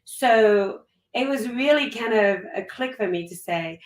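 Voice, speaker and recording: A woman's voice: a little high, expressive, unhurried, recorded from a distance. A woman whose first language is French talks in a candid, upbeat way, in long run-on thoughts, as a guest in casual conversation. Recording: echoey room, voice off-mic.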